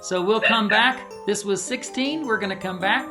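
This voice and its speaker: A man's voice: sits high, moderate pace, loud music behind the voice. A man in casual conversation, commenting on a story as its author and co-host.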